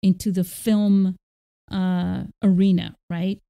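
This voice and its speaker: A woman's voice: on the low side, level tone, slow, vocal fry, warm tone, clean tone. A woman hosting a podcast interview, enthusiastic and often restarting her sentences. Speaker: podcast host. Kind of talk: casual interview chat.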